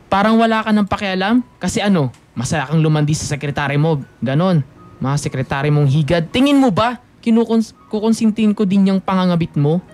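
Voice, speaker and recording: A man's voice: sits high, expressive, fairly quick, darkish tone. A sullen young Filipino man, sarcastic and insolent in radio-drama style. He mixes English into his Tagalog, with music faintly underneath. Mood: accusing, bitter. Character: sullen, insolent young adult son, a student.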